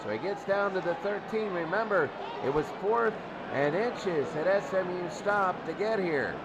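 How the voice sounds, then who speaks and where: A man's voice: sits high, animated, moderate pace. A man doing live play-by-play American football commentary in short clipped phrases, repeating his calls as he follows the play. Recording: noisy recording with loud crowd din. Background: loud crowd noise.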